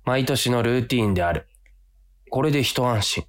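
A man's voice: mid-range voice, animated, moderate pace, lightly acted. A man playing an earnest, flustered office worker who sees himself as a hero, acting and narrating a radio drama. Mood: resolute narration. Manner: dramatic inner monologue, earnest.

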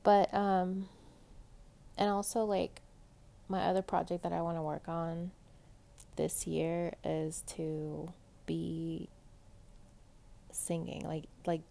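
A young woman with a soft, pleasant voice chatting casually and rambling in a personal podcast monologue, full of fillers. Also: sits low, vocal fry. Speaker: podcast host.

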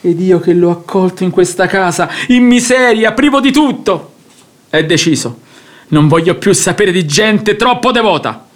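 High-voiced, agitated betrayed father. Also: animated, normal speed. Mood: indignant, bitter. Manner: declamatory, aggrieved. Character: agitated, gullible father and master of the house, betrayed. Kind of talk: theatrical radio drama acting.

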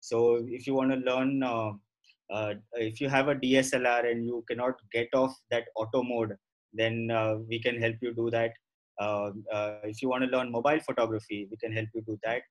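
A middle-aged man with an Indian accent, explaining his work as an interview guest in a halting, conversational way, repeating phrases for emphasis. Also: medium pitch, flat delivery, low-quality recording. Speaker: guest, professional photographer.

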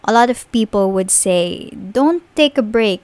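A woman's voice: medium pitch, animated, unhurried, a little mellow, no room sound. A young woman in her twenties from the Philippines with a Filipino accent, chatting on her own podcast with lots of ums and small laughs. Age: 20s. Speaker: podcast host. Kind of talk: casual solo podcast monologue.

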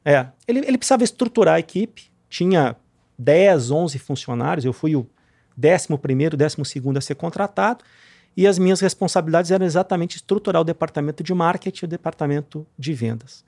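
A man's voice: fairly high pitch, lively intonation, fast, gravelly, darkish tone, dry recording. A man from southern Brazil talking informally as an interview guest about himself, peppering his speech with casual conversational tags. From southern Brazil, Rio Grande do Sul. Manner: informal, punctuated with tags like "né, cara". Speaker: guest, real estate marketing executive. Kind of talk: conversational interview, telling career story.

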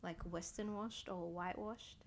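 A woman's voice: fairly low pitch, fairly even intonation, normal speed, creaky, slight background noise. A young woman in a casual chat, agreeable and asking questions. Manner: inquisitive, agreeable, poses questions.

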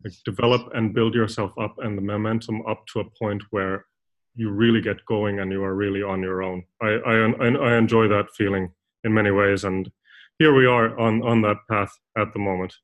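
A young man from Finland, a consultant who talks as a podcast guest in a halting, rambling way with many restarts. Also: fairly low pitch, steady tone, muffled recording. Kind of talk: casual interview talk.

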